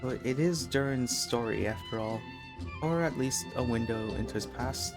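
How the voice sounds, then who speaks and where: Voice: mid-range voice, normal speed, music in the background. A game streamer commenting casually and reading dialogue aloud in an offhand, hesitant way.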